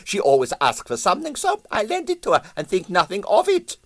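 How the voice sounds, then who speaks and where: A man voicing an excitable, boastful Russian ballet impresario, theatrical in broken English with bits of French. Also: high-pitched, brisk, dry recording, voice pushed up, exaggerated voice. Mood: confiding, cheerful.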